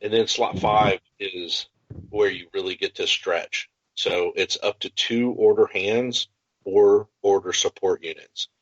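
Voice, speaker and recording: Male podcast co-host, low voice, enthusiastic gaming explainer, dry muffled recording. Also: fairly even intonation, unhurried, dry recording. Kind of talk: casual tabletop gaming chat.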